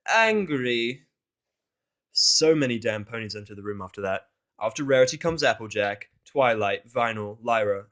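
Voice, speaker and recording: A man's voice: lowish voice, a bit bright. Sweary, conversational man reading a story. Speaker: fan fiction narrator. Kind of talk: informal dramatic reading with casual asides.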